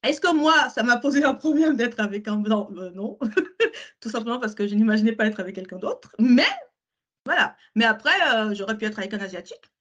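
A woman's voice: on the high side, animated, a little fast, muffled recording. A woman co-hosting a candid conversation, talking with emphatic repetitions and frequent self-corrections. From grew up in France, born abroad. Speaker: podcast co-host.

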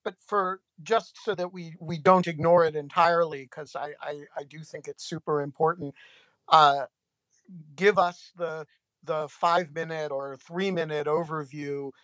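Podcast host, male, halting with restarts, dry close sound. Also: a little high, fairly even intonation, a bit bright, no room sound. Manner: halting, many fillers and restarts. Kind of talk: podcast interview.

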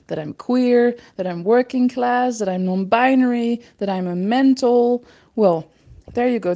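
This caller speaks with a Dutch accent and a warm, mellow voice at an average pitch. The message rambles, anxious and self-deprecating, with many pauses and restarts. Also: female voice. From Netherlands.

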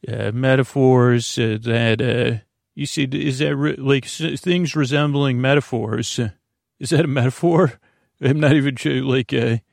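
A man hosting a bedtime sleep podcast with a creaky voice, meandering and halting in a goofy, self-deprecating way. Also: mid-range voice, steady tone, a little mellow, dry recording, studio quality. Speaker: podcast host.

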